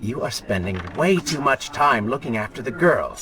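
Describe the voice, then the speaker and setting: An actor playing a prince's scornful, mocking dark inner voice in a dramatic video game. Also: male voice, medium pitch, expressive, moderate pace, crowd noise, lightly acted. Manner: mocking, needling.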